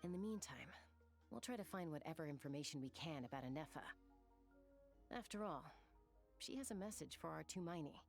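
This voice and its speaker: A woman's voice: sits low, expressive, brisk, vocal fry, pauses often, a little mellow, soft background music. A woman voicing a confident, welcoming young tribeswoman in a video game, easygoing and reassuring. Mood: welcoming, assured. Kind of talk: video game character acting.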